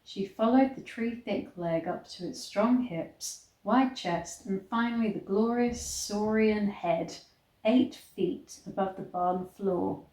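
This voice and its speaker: A woman reading a romance story aloud in a dramatic, expressive way, stretching words for emphasis. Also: mid-range voice, slow. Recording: echoey, roomy sound.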